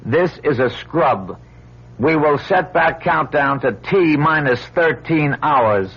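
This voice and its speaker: An American man acting in a radio drama as a worried, old-fashioned mission control officer with a husky voice. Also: fairly high pitch, animated, takes it slowly, faint music behind, exaggerated voice. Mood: official. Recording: muffled old radio recording.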